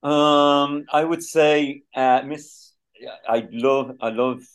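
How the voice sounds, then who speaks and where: A man's voice: fairly high pitch, fairly even intonation, slow, clear, resonant tone. A man from Dublin with a Dublin Irish accent, chatting as a guest in a casual interview, rambling with many restarts and "you know"s. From Dublin, Ireland.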